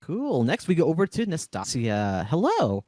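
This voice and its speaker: A man's voice: on the high side, wildly swooping pitch, normal speed, darkish tone, dry recording, clean studio sound. A man hosting and casually moderating a panel discussion. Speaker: host, moderator.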